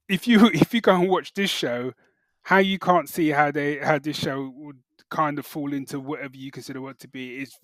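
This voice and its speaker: A man's voice: medium pitch, a bit bright, no echo. A man co-hosting a podcast, giving casual, opinionated reviews in colloquial speech peppered with filler phrases. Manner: colloquial, opinionated, peppers talk with "you know" and "like". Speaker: podcast co-host.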